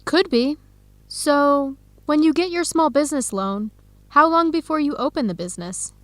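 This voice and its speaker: Woman acting earnest young American female researcher, heartbroken, higher pitch, dry studio sound, radio drama dialogue. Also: moderate pace, no room sound, lightly acted. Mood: pensive. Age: young adult.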